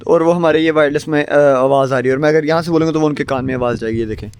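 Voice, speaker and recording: A man's voice: fairly high pitch, steady tone, very fast, darkish tone. A man giving a casual product demonstration outdoors as a reviewer, over wind noise. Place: outdoors, open field and rooftop.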